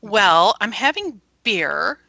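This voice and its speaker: A woman's voice: mid-range voice, big pitch swings, slow, gravelly, sharp tone, dry recording. A woman guest on a live-streamed video chat, talking in a playful, teasing way. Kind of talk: casual chat. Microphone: video call.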